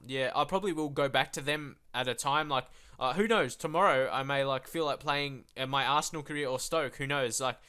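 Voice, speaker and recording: A man's voice: medium pitch, sharp tone, clear, resonant tone. A young man with a blocked nose giving casual, rambling live commentary over a football management game, full of fillers. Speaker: gaming YouTuber.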